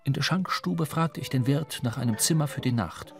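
A man's voice: medium pitch, normal speed, close to an everyday voice. A man playing a wry, brooding seafarer who narrates his own adventure in a literary radio drama. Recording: clear music behind the voice.

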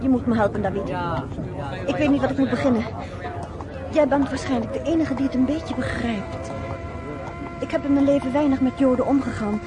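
Higher-pitched, conflicted woman played by an actress. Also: fairly quick, pauses often, over music, a crowd in the background, lightly acted. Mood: searching. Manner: hesitant, searching. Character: conflicted, guarded American woman, an outsider. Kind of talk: Dutch radio play acting.

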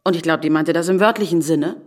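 A woman's voice: sits low, fast talker. A woman playing a sardonic bank branch manager in naturalistic German audio drama dialogue, bantering in an ironic, slightly testy way. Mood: teasing. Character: sardonic, joking married female bank branch manager, stranded.

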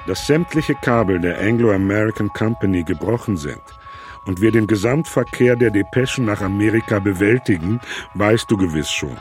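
A man's voice: on the low side, animated, moderate pace, darkish tone, faint music behind, close to an everyday voice. An actor playing a 19th-century businessman who reports news in a letter, matter-of-fact, for an audio documentary.

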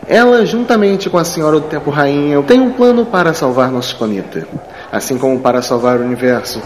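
A man's voice: a little high, animated, fairly quick, darkish tone, lightly acted. A man playing a formal, skeptical academy official in an audio drama. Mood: formal, reassuring. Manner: formal, announcing. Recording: clear background noise and ambience.

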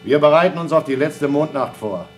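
A man's voice: fairly high pitch, lively intonation, a little fast, soft background music, natural voice. A man playing a dutiful, sober astronaut on a moon base in a radio play, speaking in a matter-of-fact, wry manner.

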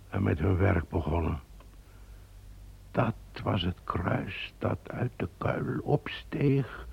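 A brooding man haunted by his traitor ancestor, voiced by an actor, with a deep, gravelly voice. He speaks slowly and solemnly, as if describing a vision. The old radio play recording sounds dull and muffled. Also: heavily acted. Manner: solemn, measured, visionary storytelling. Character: brooding male guide, descendant of a traitor.

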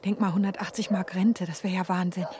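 An actress playing a resolute, tender young widow in love, in intimate radio play dialogue. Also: female voice, on the low side, flat delivery, a little fast, mellow, slight background noise, close to an everyday voice.

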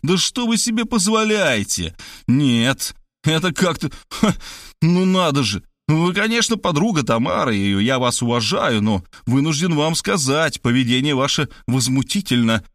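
A man's voice: mid-range voice, animated, normal speed, no room sound, studio quality, lightly acted. A man voicing a flustered, easily indignant man from a novel, anxious and self-justifying.

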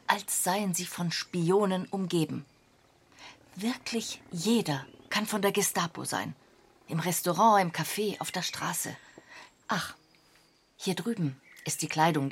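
A woman's voice: on the low side, slight background noise. An actress playing a stern, cautious woman of the wartime French resistance, commanding and urgent, in a radio play. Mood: instructing, guarded.

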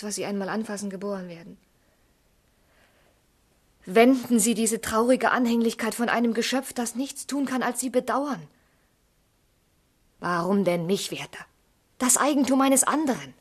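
An actress playing a tender, troubled young woman in a radio drama, with a breathy, husky voice and earnest delivery. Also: medium pitch, a little mellow, natural voice. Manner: earnest, pleading, sometimes dropping to a whisper. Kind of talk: German literary radio drama acting.

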